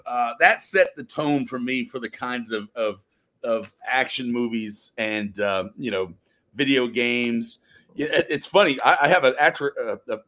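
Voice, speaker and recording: A man, an actor guesting on a podcast, who tells stories casually but emphatically, with dramatic pauses. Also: medium pitch, a bit bright. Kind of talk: casual interview chat.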